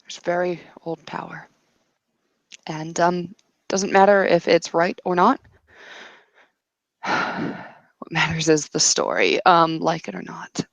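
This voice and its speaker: A woman playing a patient, cryptic female mentor in a tabletop roleplay, gently insistent, measured and solemn, with pauses. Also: fairly low pitch, creaky, dry recording.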